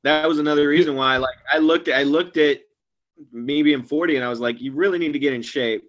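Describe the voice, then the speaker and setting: A man in his forties, a guest actor and comedian, chatting casually over a webcam call from a small room at home, rambling and trailing off quietly at the ends of phrases. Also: a little high, fairly quick, lo-fi sound. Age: 40s. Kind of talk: casual video-call chat. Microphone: webcam video call.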